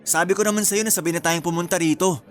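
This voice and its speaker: A man's voice: high voice, steady tone, fast talker, soft background music, close to an everyday voice. A man with a Filipino accent playing an irritable, reluctant young groom in a radio drama, casual and blunt. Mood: irritated, grudging.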